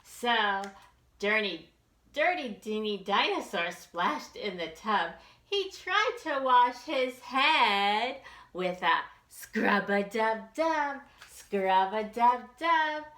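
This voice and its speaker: A woman's voice: on the high side, big pitch swings, very slow, fairly bright tone, echoey room. A woman reading aloud at a children's storytime in a sing-song, exaggerated way, inviting the children to join in.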